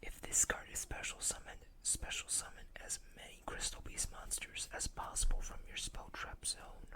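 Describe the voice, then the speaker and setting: An ASMR host whispering softly and without hurry, reading out card text and adding casual asides. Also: moderate pace.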